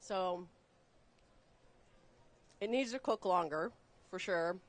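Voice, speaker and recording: A woman chef raised in Texas, explaining a recipe step by step while cooking on a live show, chatty and quick to laugh. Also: lowish voice. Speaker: guest chef. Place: studio kitchen.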